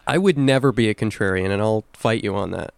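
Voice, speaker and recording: A man's voice: lowish voice, a little fast, gravelly, no echo. A man co-hosting a podcast, chatting casually about video games and swearing freely. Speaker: podcast co-host.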